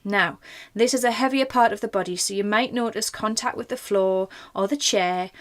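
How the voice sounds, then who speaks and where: A woman leading a guided meditation in a gentle, informal, reassuring manner. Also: medium pitch, normal speed. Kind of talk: guided body scan meditation.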